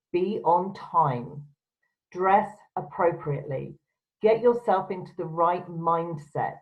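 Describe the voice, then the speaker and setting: Lower-voiced woman giving firm, direct advice. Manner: firm, direct, emphatic instructions. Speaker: presenter giving business etiquette advice. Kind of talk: instructional talk to camera. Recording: dull, lo-fi sound.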